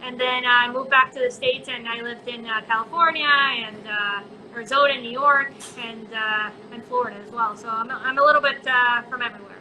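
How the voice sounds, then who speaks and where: A woman's voice: on the high side, steady tone, a little fast, few pauses, sharp tone, slight background noise, rough recording. A woman who grew up in Colombia, a chocolate maker chatting in an online interview, talking casually with many fillers. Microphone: phone on a live video chat.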